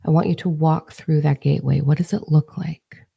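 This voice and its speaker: Low gravelly woman guiding meditation. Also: fairly even intonation, lo-fi sound. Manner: slow soothing instructions, soft whispered phrases. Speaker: meditation guide. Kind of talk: guided inner-child meditation.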